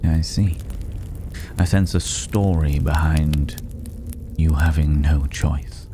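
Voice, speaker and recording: An extremely deep, unhurried male voice acted out by a man plays a mysterious stranger in a fantasy ASMR roleplay. He is courteous and pensive, with soft, formal, old-fashioned phrasing that edges toward a whisper. Noticeable background noise runs underneath. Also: steady tone. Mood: probing, calm.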